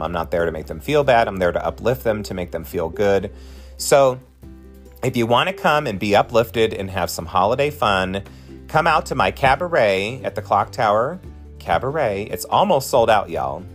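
A man in his late forties with a lowish voice, chatting warmly and effusively to his podcast listeners. He keeps it casual and encouraging, and soft guitar music plays faintly behind him. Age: 40s. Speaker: podcast host and drag performer.

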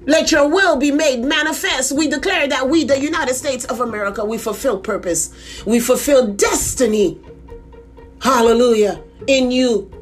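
A woman leading a fervent intercessory prayer, declaiming in an impassioned way with repeated refrains. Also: a little high. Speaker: prayer leader.

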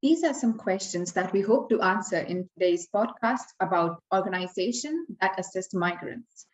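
A young woman from India introducing a podcast guest as co-host. Her voice sits at a middle pitch and she reads from a script. The recording carries a noticeable roomy echo. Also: lively intonation, moderate pace. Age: young adult. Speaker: podcast co-host, university student. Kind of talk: podcast interview hosting.

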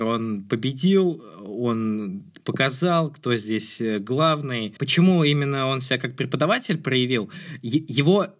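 Male podcast co-host, average pitch. Casual film chat, searches for phrasing, then lands points emphatically. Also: a bit bright.

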